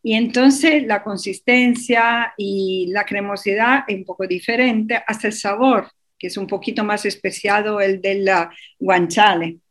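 Woman radio guest, unhurried, hesitant, lots of fillers, mid-pitched. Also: fairly even intonation. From Europe. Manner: hesitant, many fillers and restarts. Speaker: interview guest. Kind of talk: radio interview, casual explaining.

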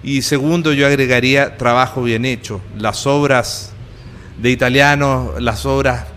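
A man's voice: mid-range voice, fairly even intonation, measured pace, a bit bright, in a large hall, a little hiss or hum. A man hosting an interview, explaining his points at length and building them up as lists. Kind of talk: interview host commentary.

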